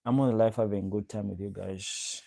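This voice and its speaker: A young man from Uganda chats loosely with his livestream viewers, calling out their names and repeating each question several times. His voice is low and very dark, with a gravelly fry, recorded dry and close with no room sound. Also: fairly even intonation, moderate pace, dry recording. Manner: greets viewers by name, repeats himself. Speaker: livestream host. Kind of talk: casual livestream chat reading comments.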